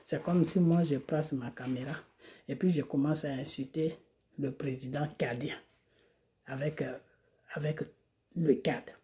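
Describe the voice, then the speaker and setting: A woman's voice: low voice, steady tone, unhurried, long pauses, mellow. A woman from Côte d'Ivoire, a video creator, delivering an indignant monologue to the camera and hammering home rhetorical questions. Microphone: phone or camera mic.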